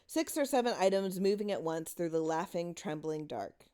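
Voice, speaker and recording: A woman's voice: on the low side, lively intonation, moderate pace, warm tone, clear, resonant tone. A woman narrating a horror short story in a dramatic reading style.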